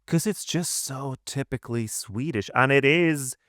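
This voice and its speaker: A man's voice: mid-range voice, measured pace, fairly bright tone, no echo, clean studio sound. A man co-hosting a podcast, giving his views in casual conversation. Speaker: podcast co-host. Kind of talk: casual opinionated chat.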